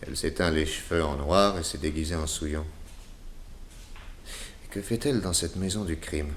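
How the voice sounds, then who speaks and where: An actor playing a suspicious, self-assured detective inspector in a 1960s French radio drama, putting clipped questions. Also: male voice, rumbling low, a little hiss or hum. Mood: musing to himself.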